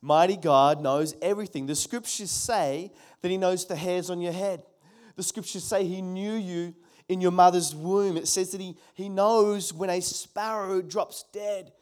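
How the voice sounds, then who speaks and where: A middle-aged pastor delivers a sermon with real emphasis, circling back to his key phrases again and again. He calls out to the congregation and asks them to respond. His voice sits a little high for a man. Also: fairly even intonation, unhurried, a little mellow.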